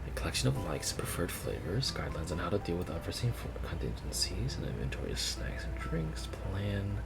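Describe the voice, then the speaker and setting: A man reading game story text aloud as expressive first-person narration. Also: low-pitched, creaky. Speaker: video creator reading game dialogue aloud. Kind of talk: expressive read-along narration.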